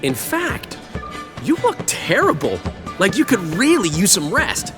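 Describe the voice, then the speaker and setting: An actor playing a flamboyant, chatty male bard and innkeeper in a video game, theatrical and expressive. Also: sits high, unhurried, over music, full character voice. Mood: concerned. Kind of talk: dramatic video game acting.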